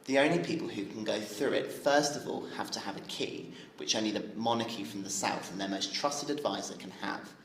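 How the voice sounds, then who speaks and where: Man acting excitable young male dreamer, audio drama, informal delivery, roomy echo, faint background noise. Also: lowish voice, a little fast, few pauses, lightly acted. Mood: storytelling. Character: eager, excitable young man who believes in fairy tales.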